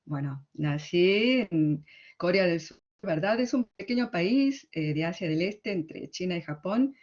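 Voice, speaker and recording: A middle-aged woman with an Argentine Spanish accent, a literary translator giving a conference talk in a conversational way, often closing her points with quick tag questions. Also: fairly low pitch, lively intonation, a little mellow. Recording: slightly dull, lo-fi sound.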